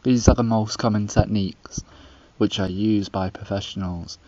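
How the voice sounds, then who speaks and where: A young man with a low voice reads instructions in a stiff, scripted way. The recording is dry and muffled, with a lo-fi dullness. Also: fairly even intonation, moderate pace, mellow, no room sound. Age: young adult. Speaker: student documentary narrator. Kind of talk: scripted voice-over narration. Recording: dry dull lo-fi voice-over.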